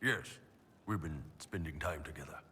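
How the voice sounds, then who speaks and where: An actor playing a big, gruff horned mercenary captain in a video game, blunt, wry and casual. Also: male voice, a bass voice, normal speed, bright, forceful tone, a little hiss or hum. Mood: fond, relaxed.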